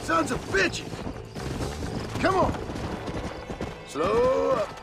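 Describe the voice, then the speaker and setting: An actor playing a hard-bitten, wry gunslinger in a western video game, terse and dry. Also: male voice, extremely high pitch, very animated, drawn-out words, over loud music, exaggerated voice. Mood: urgent, angry.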